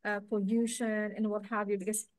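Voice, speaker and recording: A woman's voice: mid-range voice, flat delivery, a little fast, a little mellow, no echo. A woman hosting an online alumni meetup over a video call, chatty and friendly, with many fillers. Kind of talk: casual moderating on video call.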